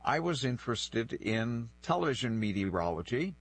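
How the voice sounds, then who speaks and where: A man's voice: medium pitch, very animated, moderate pace. A man in his sixties from the northeastern United States, a native French speaker, telling personal stories reflectively as an interview guest. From New Bedford, northeastern United States. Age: 60s. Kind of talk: conversational interview, personal storytelling.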